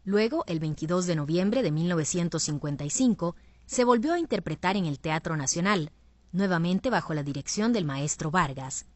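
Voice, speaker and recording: Lady narrating a radio documentary, kinda low voice, formal and measured, no echo at all. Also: lively intonation, a little mellow. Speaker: radio programme presenter.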